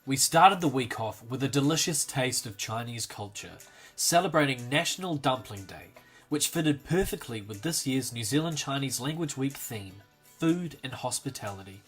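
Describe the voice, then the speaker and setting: A man giving a scripted narration for a promotional video, reading in an even, upbeat way. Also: medium pitch, normal speed, slightly rough, faint music behind.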